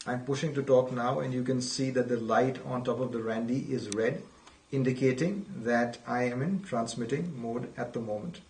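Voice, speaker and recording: A man's voice: mid-range voice, a little hiss or hum. A man giving an unscripted product demonstration as a company presenter, explaining things methodically. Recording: roomy, distant from the mic.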